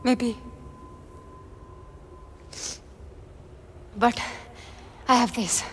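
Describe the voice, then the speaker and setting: Defiant young adventurer heroine, faint noise. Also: female voice, a little high, slow, fairly bright tone. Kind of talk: video game cutscene acting.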